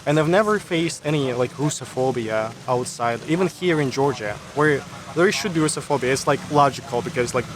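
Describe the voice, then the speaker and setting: A man's voice: mid-range voice, fairly quick, few pauses. A young man from Russia with a Russian accent, answering interview questions in loose, casual talk full of "like" fillers. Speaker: interviewee, YouTuber. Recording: street noise behind, traffic.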